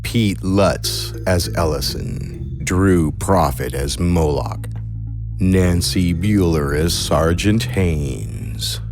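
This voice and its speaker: A man's voice: sits low, very slow. A man reading scripted credits as an announcer. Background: music.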